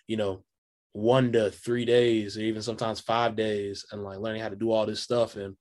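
A man's voice: lowish voice, flat delivery, normal speed, darkish tone. A young man, a guest musician, chatting casually on a video call, rambling with lots of fillers. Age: young adult. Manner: loose, rambling, filler-heavy talk. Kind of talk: casual interview chat.

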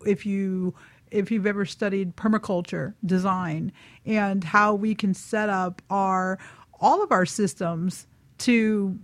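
Woman, mid-pitched voice, dry sound, informal panelist, casual reflective conversation, easygoing delivery. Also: fairly even intonation, takes it slowly, no echo. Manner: informal, laughs easily while talking. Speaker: radio talk show panelist.

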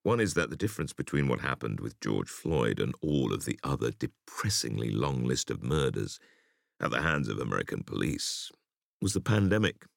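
A man reading an interview for an audiobook in a measured, articulate and emphatic way. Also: extremely low pitch, sing-song, vocal fry, no room sound, clean studio sound. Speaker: audiobook reader.

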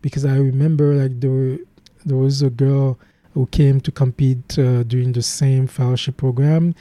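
A man's voice: mid-range voice, level tone, moderate pace, mellow, dry recording. A young man with a Haitian accent, a guest speaking earnestly in an interview, often restarting his sentences. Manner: earnest, many restarts and fillers. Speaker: guest, program founder.

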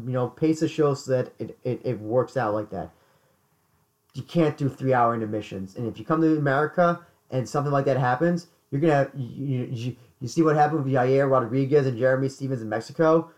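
Man, hoarse, podcast co-host, casual chat, repeats words, mid pitch. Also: a little fast, a little mellow.

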